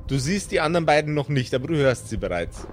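High-voiced man running a tabletop game. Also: sing-song, quick pace, faint music behind. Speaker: game master and narrator. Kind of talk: improvised tabletop game narration and casual banter.

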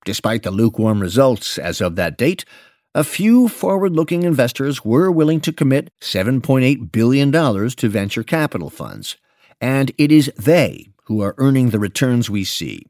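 Animated male narrator, dry studio sound. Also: mid-range voice, moderate pace, no echo. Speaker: narrator reading an investment memo. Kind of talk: scripted narration, audiobook-like reading.

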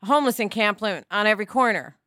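A female podcast co-host chats informally and expressively. Her voice is bright and mid-range, recorded dry with no room around it. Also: medium pitch, animated, fast, dry recording. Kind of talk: casual chatty discussion.

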